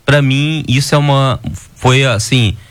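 Male guest, mid voice, thankful and halting. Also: mid-range voice, steady tone, no room sound. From Goiás, Brazil. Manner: grateful, hesitant restarts. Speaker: radio guest.

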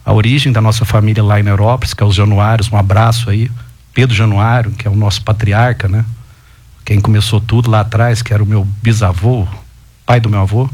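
A man, a guest on a talk show, chatting casually and telling his family story with lively rising emphases. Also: fairly low pitch, brisk. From Goiás, Brazil. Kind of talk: casual chat.

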